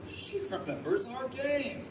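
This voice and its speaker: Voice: high-pitched, big pitch swings, unhurried, fairly bright tone, reverberant, slight background noise, full character voice, poor audio. A performer voicing the sardonic, scheming inner thoughts of a baby with an army ranger past, in a live radio play. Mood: wry. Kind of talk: live old-time radio play acting.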